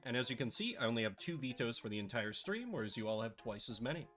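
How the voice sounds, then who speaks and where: A man hosting a casual live stream, chatty and playfully sarcastic, his voice a little rough and scratchy today. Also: mid-range voice, very animated, quick pace, fairly bright tone, soft background music.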